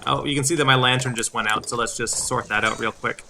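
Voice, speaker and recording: A man's voice: mid-range voice, steady tone, quick pace, gravelly, sharp tone, a little hiss or hum. A man giving explanatory video game commentary, with hesitant phrasing and repeated words. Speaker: gameplay host. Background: game music.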